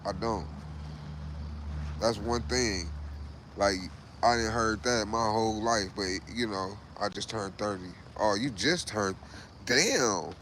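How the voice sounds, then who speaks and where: A man's voice: on the low side, slow pace, fairly bright tone, slight background noise, low-quality recording. A man in his late thirties hosting a casual livestream, talking loosely and profanely, repeating himself and saying "bro" a lot. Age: 30s. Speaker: livestream host.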